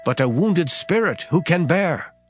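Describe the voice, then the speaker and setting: Expressive male scripture reader over guitar. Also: fairly high pitch, measured pace. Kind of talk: dramatized Bible audiobook reading. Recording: guitar music behind the voice. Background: plucked guitar music.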